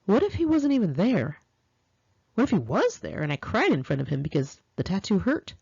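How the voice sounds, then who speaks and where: An American woman reading her own memoir aloud in an expressive, confiding, conversational storytelling style, with girlish slang. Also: mid-range voice, normal speed, vocal fry, husky, dry recording, dull audio. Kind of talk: first-person audiobook narration, conversational and dramatic.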